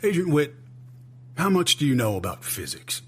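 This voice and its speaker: A man's voice: fairly high pitch, big pitch swings, moderate pace, fairly bright tone, slightly rough, full character voice. An actor playing a weary, skeptical male intelligence chief in an audio drama, clipped and sardonic with heavy sighs. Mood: dry, sardonic. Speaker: senior agency official.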